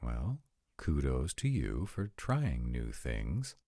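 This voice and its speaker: A man playing an arrogant, courteous male vampire in an audio roleplay, speaking formally and articulately in a husky, gravelly voice. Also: a bass voice, huge pitch swings, slow pace, dark tone, no echo, clean studio sound, full character voice. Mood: teasing, amused. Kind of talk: acted romantic fantasy audio roleplay.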